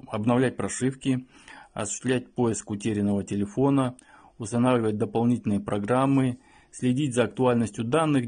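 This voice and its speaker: A man's voice: mid-range voice, dark tone. A man giving an informal product review and tutorial, explaining things in a matter-of-fact way. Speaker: phone reviewer.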